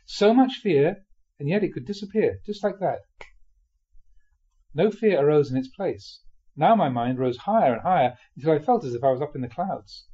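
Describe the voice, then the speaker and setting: Male reader for spiritual memoir audiobook: fairly high-pitched, soft mellow tone, measured delivery with dramatic pauses, slightly dull recording. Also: husky. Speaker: reader of Buddhist teachings.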